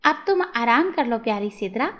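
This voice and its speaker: A woman voicing a friendly, commanding little male gnome in a children's storybook, in an animated, inviting manner. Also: a little high, normal speed.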